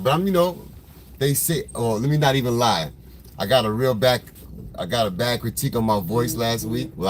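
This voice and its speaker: A man with a smoker's voice, chatting casually with viewers on a livestream from his car in traffic. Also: medium pitch. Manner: laid-back, rambling, slangy, greets viewers by name. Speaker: photographer hosting a livestream. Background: faint vehicle noise.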